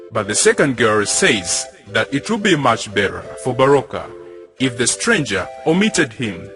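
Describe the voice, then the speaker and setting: A man's voice: medium pitch, a bit bright. A young man giving scripted narration that summarises a play. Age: young adult.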